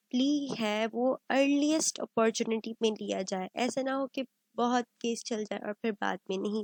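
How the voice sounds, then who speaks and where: A woman giving an explanatory law lecture, halting as she goes and slipping into formal English phrases. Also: a little high, a little mellow, no room sound, rough recording. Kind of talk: explanatory lecture, Urdu mixed with English legal terms.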